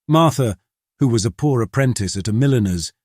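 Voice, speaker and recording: A man narrating a scripted story as an audiobook, in a measured storytelling manner. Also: medium pitch, animated, a little mellow, no echo, studio quality.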